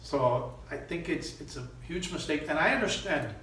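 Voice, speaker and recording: A man's voice: a little high, animated, moderate pace, sharp tone. A middle-aged man from Miami answering interview questions conversationally as an actor, often restarting his phrases. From Miami, United States. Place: small indoor room. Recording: echoey room, distant mic, faint noise.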